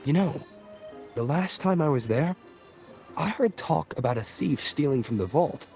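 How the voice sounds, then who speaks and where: An actor playing a breezy, ingratiating newcomer who fishes slyly for gossip in a video game. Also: male voice, fairly high pitch, animated, normal speed. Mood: breezy, inquisitive. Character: chatty, friendly newcomer slyly fishing for gossip. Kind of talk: scripted video game dialogue. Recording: loud music behind.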